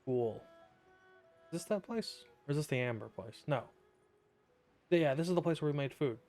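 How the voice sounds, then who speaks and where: A man's voice: on the high side, expressive, normal speed, darkish tone. A man giving casual, sarcastic gameplay commentary as a streamer, offhand, with game music behind him.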